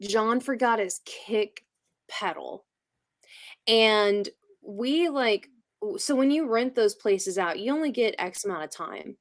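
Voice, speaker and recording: A woman with a fairly high voice, recorded close and dry, talks in a loose, casual way. She is giggly and drops "like" into her sentences constantly. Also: lively intonation, slow pace, no echo. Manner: giggly, frequent laughing, heavy use of "like". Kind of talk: casual chat.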